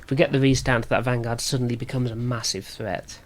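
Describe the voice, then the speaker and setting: A man with a sore throat and slightly rough voice, thinking aloud as he gives casual commentary on a card game. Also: medium pitch, steady tone, a little fast. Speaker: gaming video host.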